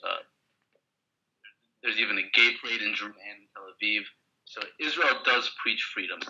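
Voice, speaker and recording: A lower-voiced man with a forceful, sharp edge speaks over a dull, lo-fi internet call. He argues his point in halting bursts, doubling back on words as he searches for them. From Queens, New York, USA. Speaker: pro-Israel debate participant. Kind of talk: online debate over a call. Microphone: video call.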